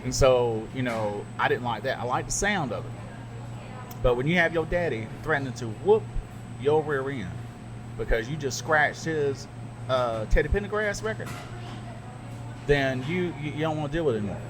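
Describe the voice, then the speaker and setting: A middle-aged man, a radio DJ, chatting casually and telling stories with animated asides. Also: medium pitch, normal speed. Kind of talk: casual podcast chat. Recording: noisy background.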